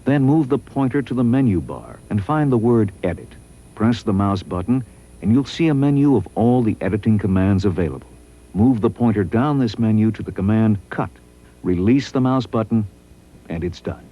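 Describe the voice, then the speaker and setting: A man reading scripted promotional training narration in a measured, instructional way. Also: lowish voice, animated, mellow.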